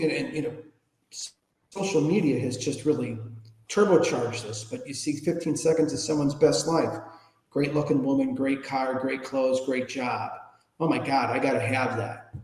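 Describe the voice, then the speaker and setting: A man in his fifties or older telling personal stories conversationally as an interview guest, informal and animated, often asking rhetorical questions. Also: mid-range voice. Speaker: interview guest, public speaker. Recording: echoey room with long reverb.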